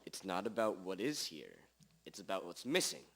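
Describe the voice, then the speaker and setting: A man's voice: very animated, normal speed, a bit bright, breathy edge, heavily acted. A young-sounding amateur actor, a man playing an impatient, self-important private detective with formal, theatrical delivery in a radio drama. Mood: deducing. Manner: formal, theatrical interrogating, condescending to sidekick. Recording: low-quality amateur recording.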